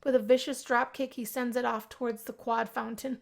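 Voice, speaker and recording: A woman reading first-person visual novel narration aloud as an expressive storyteller. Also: a little high, moderate pace, gravelly. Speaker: gaming video host, narrator.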